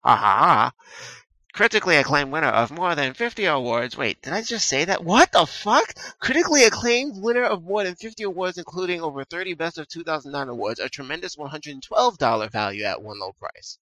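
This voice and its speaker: A young man reads a game box aloud in a flat, deadpan announcer parody, tossing in crude asides. His voice is bright and forward, recorded close and dry with no room sound. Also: a little high, fairly quick, no echo, rough recording. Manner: deadpan, mock-announcer reading with swearing asides. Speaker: co-host. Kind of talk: comedic reading of box copy.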